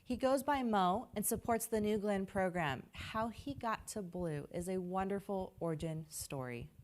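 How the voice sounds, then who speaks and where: A woman's voice: mid-range voice, normal speed, darkish tone. Upbeat female launch broadcast host. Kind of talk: scripted live broadcast commentary.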